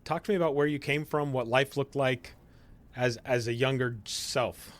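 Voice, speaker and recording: A man's voice: mid-range voice, fairly even intonation, normal speed, no room sound. A man hosting a podcast, asking questions in a friendly, casual way. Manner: friendly, with frequent filler and restarts. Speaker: podcast host. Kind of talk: casual interview talk.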